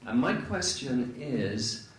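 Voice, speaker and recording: A man from Europe asking a panel a question in an articulate, deliberate way. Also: medium pitch, sing-song, slight background noise. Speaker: conference speaker asking a question. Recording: very echoey hall, far from mic.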